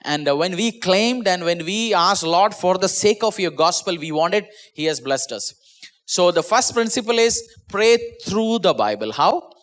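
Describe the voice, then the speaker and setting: A male pastor with an Indian English accent and Malayalam as his first language, preaching an online sermon from a small church. His voice sits fairly high for a man. He speaks earnestly, restarting and repeating words, and lets his pitch fall at the ends of phrases. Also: lively intonation, moderate pace, fairly bright tone.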